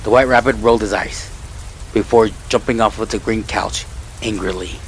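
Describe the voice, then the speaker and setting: A man's voice: fairly low pitch, steady tone, moderate pace, a little hiss or hum, poor audio. A man reading scripted fan fiction as a story narrator, with music playing behind him.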